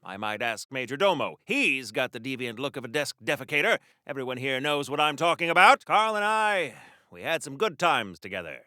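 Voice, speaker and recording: A man's voice: mid-range voice, sing-song, brisk, pushed and bright, no room sound, clean studio sound. A man playing a chatty, pompous wartime comic-book hero in a video game, with flowery diction in a theatrical 1940s radio serial style. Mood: smug, chatty.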